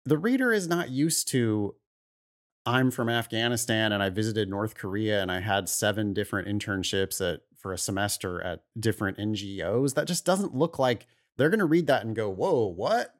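A man's voice: on the low side, animated, moderate pace. A man co-hosting an advice podcast, talking casually and giving blunt, opinionated takes. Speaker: podcast host.

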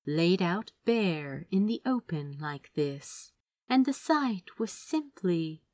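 A woman reading a story aloud as expressive scripted narration. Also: on the low side, slow, dry recording. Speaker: fan fiction audiobook reader.

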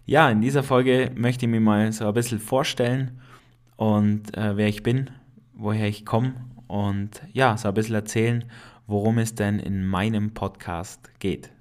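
A man in his late twenties from the Allgäu with a noticeable Allgäu accent, hosting a casual solo podcast in a relaxed, chatty way with many hesitations. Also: fairly low pitch, measured pace. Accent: Allgäu dialect. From Allgäu, Germany. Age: 20s. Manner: relaxed and chatty, frequent hesitations, laughs at himself. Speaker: podcast host.

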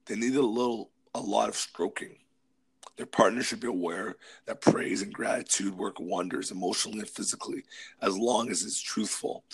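A man co-hosting a casual podcast discussion, hoarse from a cold, talking through list points with whispery asides. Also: on the low side, poor audio. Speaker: podcast co-host.

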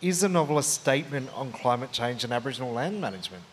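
An interviewer asks a long question at a middle pitch. The speech is hesitant and broken up by fillers. Faint noise sits in the background. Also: animated, fast.

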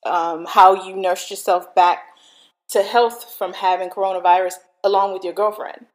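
A woman hosting a talk show, loud and chatty, with frequent fillers. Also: medium pitch, fairly even intonation, normal speed, a little hiss or hum, low-quality recording. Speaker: host.

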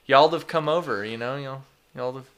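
A man's voice: medium pitch, normal speed, fairly bright tone, clear, resonant tone. A young man from Texas in casual chat, laid-back, swearing often and saying "dude" and "like".

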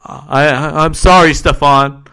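A man voicing a soft-spoken friend turned betrayer who talks in a hushed, apologetic way, within a horror story he reads. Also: a little high, steady tone, slow pace, creaky, clean tone, pitched above the performer's own voice, exaggerated voice. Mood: eerie calm. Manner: hushed, apologetic, almost whispering.

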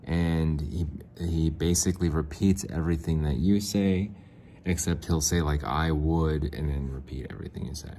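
Very deep, rambling solo podcaster man. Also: normal speed, warm tone, a little hiss or hum. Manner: rambling, self-mocking, answers his own questions; frequent throat clearing and restarts. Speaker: podcast host. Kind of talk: casual solo podcast monologue.

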